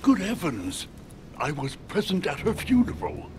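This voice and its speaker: A man's voice: high-pitched, huge pitch swings, unhurried, a little mellow, slight background noise, exaggerated voice. A man acting a dignified, earnest president in a period video game, with a formal, courteous and grave manner. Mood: troubled, astonished.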